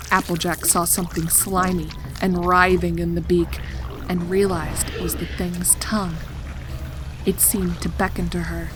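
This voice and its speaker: Female horror narrator, slightly low register, deliberate and suspenseful, faint music underneath. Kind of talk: dramatic horror story narration. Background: background music.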